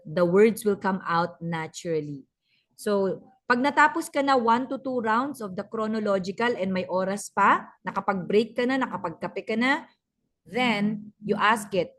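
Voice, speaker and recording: Brisk Filipino woman teaching online. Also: medium pitch. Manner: brisk and teasing, switching between English and Tagalog. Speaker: communication skills trainer. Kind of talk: online class instruction. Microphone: video call.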